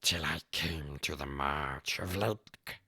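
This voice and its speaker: Casting: male actor as wretched little fantasy creature, twitchy and whining. Harsh, forceful strained rasp, erratic swoops, slow dramatic recitation, close dry sound. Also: very deep voice, dry recording, exaggerated voice. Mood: haunted, brooding. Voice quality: raspy, croaky, strained throat. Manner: talks to self in plural, erratic swoops. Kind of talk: dramatic poem recitation in character.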